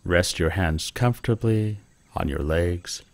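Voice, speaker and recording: A man leading a guided meditation with gentle, calm instruction. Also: low-pitched, measured pace.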